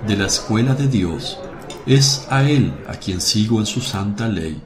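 A male actor plays a gentle, merciful holy teacher in a devotional radio play. His low-pitched voice moves slowly and solemnly, with tenderness in the measured delivery. The sound is roomy and echoing, with music underneath. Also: lively intonation, a crowd in the background. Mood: solemn, firm. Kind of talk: devotional radio drama acting. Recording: roomy echo over music.

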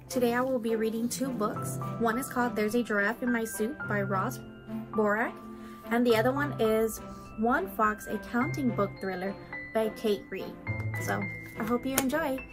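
A woman's voice: fairly high pitch, normal speed, music in the background. A young adult woman, a children's librarian, hosting and reading aloud a storytime for children.

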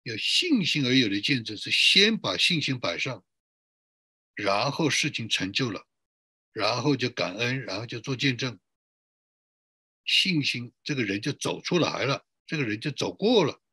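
A male pastor giving a sermon in an emphatic way, building up repeated lists and rhetorical questions, with a husky voice. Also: mid-range voice, sing-song, normal speed, pushed and bright, dry recording, clean studio sound. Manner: emphatic, repetitive listing.

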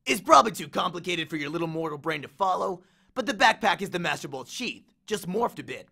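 A man's voice: sits high, lively intonation, brisk, creaky, pushed and bright, slightly rough, full character voice. A man voicing a swaggering, menacing biker war god with a cocky, taunting, slangy way of talking, as an audiobook character. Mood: smug.

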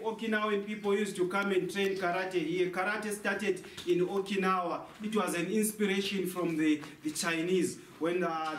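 A young man working as a tour guide, giving a guided cave tour talk. He explains things by asking a question and then answering it himself. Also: sits high. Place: large cave, indoors. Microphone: handheld mic, camera a few meters away. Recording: echoey cave, faint noise.

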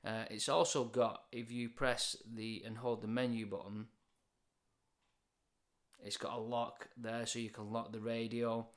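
A man's voice: mid-range voice, level tone, measured pace. A British man in his forties explains things in a plain, matter-of-fact way, as in an informal product review.

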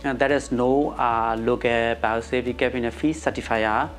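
Halting middle-aged man with Burmese accent. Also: mid-range voice, steady tone, soft background music. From Myanmar. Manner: halting, searching for words. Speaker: interviewee, laboratory professional. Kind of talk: interview answers. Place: indoor office room. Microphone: lapel.

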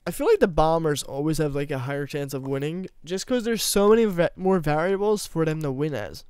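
A young man with a slightly high, smooth yet hoarse-edged voice rambles through casual gameplay commentary into a close desk mic. The sound is dry and close. He mocks himself and breaks into sudden loud laughing bursts. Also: animated, fairly quick, no echo. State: voice going hoarse. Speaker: gaming YouTuber. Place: small room at home.

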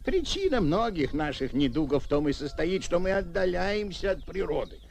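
A man voicing a kindly, learned old bearded gnome in an animated film, speaking in a measured, fussing way. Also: high-pitched, gravelly, full character voice. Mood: thoughtful, lecturing. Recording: noisy old film soundtrack.

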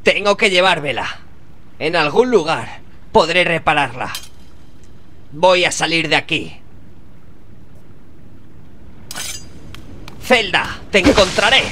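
A man's voice: a little high, very animated, a little slow, bright, forceful tone, full character voice. A man playing an anxious, determined young fantasy hero in a Castilian Spanish video game dub, speaking in short exclamatory phrases. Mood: determined, puzzled. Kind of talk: dramatic video game dub, talking to himself. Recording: clear background noise under the voice.

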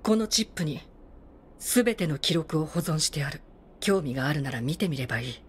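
Low-voiced, stern android commander. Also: female voice, lively intonation, brisk. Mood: grave, resigned. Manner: formal, grave, authoritative. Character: stern, weary adult female android military commander. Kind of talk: dramatic video game acting.

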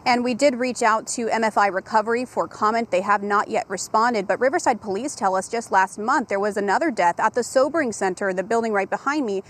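A woman field reporter delivering a live TV news report outdoors with a handheld microphone, in a measured, clear broadcast manner. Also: medium pitch. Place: outdoors at a rehab facility at dusk. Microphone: handheld station mic.

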